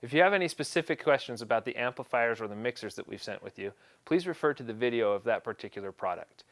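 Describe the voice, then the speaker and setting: A man's voice: mid-range voice, lively intonation, quick pace, a bit bright, dry recording. A man presenting an instructional equipment setup tutorial, explaining each step in a plain, practical way.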